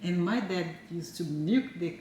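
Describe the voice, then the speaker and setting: A woman from Brazil in her forties, a native Portuguese speaker talking in English with a Brazilian accent, speaking haltingly with fillers and soft laughs in a casual chat at home. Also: fairly low pitch, far from the mic. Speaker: co-host. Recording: echoey room, a bit off-mic, faint noise.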